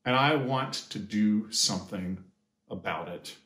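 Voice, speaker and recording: Slow-paced American man in an echoey room. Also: lowish voice, fairly bright tone. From United States. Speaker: pastor. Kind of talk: sermon-like address to camera. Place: small indoor sitting room or office.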